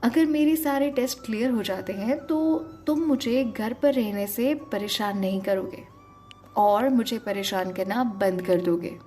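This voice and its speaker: A woman's voice: on the high side, unhurried, warm tone, faint music behind, lightly acted. Woman acting a stubborn, affectionate older sister. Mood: gently firm.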